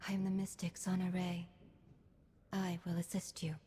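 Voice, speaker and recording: Enigmatic female mystic for a game, played by an actress. Lowish, mellow, formal and measured, faint noise behind. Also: monotone, close to an everyday voice. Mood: serene, solemn. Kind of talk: scripted game character dialogue.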